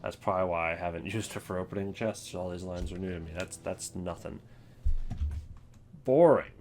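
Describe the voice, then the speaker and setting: A man with a low voice talks casually through a game ranking as he goes. His commentary is wry and opinionated, with faint noise and keyboard clicks behind it. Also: moderate pace, darkish tone. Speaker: host of a game ranking video.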